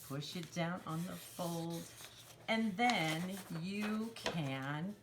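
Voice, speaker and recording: A woman's voice: on the low side, extremely slow, noisy background. A woman hosting a children's storytime craft, explaining each step in simple instructional phrases for young children. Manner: simple instructional phrasing, refers to herself in third person.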